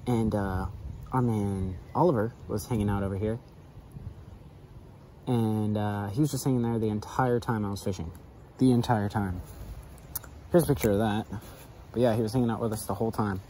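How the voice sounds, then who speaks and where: A man's voice: fairly low pitch, steady tone, moderate pace, pauses often, mellow, slight background noise, rough recording. A young man vlogging while he fishes from a backyard dock, chatting loosely with lots of fillers, recorded on a head-mounted camera. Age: young adult. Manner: rambling, laid-back, loose with fillers. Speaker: fishing vlogger. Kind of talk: casual running commentary while fishing. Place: outdoors on a backyard dock by a canal.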